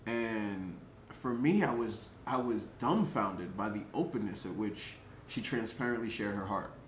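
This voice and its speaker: A man's voice: lowish voice, measured pace. Chatty man telling a story in a roomy space. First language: English. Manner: chatty, lots of "like" and "um" fillers, laughs between thoughts; animated, gets louder at exciting moments. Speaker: vlogger telling a personal story. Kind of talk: casual storytelling to camera. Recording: roomy echo, faint background noise.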